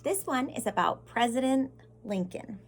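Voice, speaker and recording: American woman reading aloud, gravelly creak. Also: fairly high pitch, animated, moderate pace. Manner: simple explaining, addressing children directly with questions. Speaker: teacher reading to pupils. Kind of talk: picture-book read-aloud with explanatory asides.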